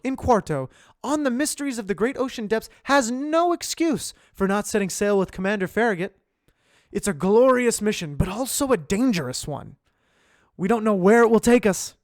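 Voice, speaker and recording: A man voicing an eager, excitable middle-aged scientist who speaks in an urgent, animated way. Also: dry recording, studio quality. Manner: urgent, animated, rising pitch.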